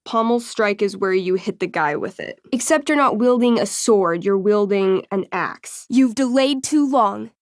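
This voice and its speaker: A woman's voice: mid-range voice, animated, unhurried, hardly any pauses, no echo, clean studio sound, lightly acted. An actress playing an enthusiastic young woman game master in a video game, moving between theatrical storytelling and casual, wry asides. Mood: narrating, wry. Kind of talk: video game dialogue, dramatic tabletop narration.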